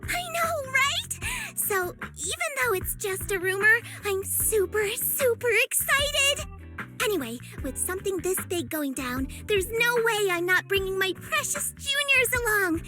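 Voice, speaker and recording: A woman voicing an excitable, playful and bossy young girl, a senior disciple in an anime game, bubbly and gushing. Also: extremely high pitch, unhurried, heavily acted. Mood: excited, gushing. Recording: music playing behind the voice. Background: game music.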